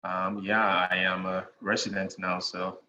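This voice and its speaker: A young man with a Ghanaian accent, a podcast guest chatting in a relaxed way, with many "you know" fillers and frequent chuckles. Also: deep voice, measured pace. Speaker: guest, real estate investor. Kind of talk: casual interview conversation. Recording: dull lo-fi sound in an echoey room with faint noise.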